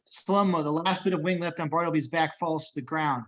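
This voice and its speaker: A man's voice: a little high, fairly quick, a bit bright, lo-fi sound. A man narrating the action of a script as reader of stage directions in an amateur table reading.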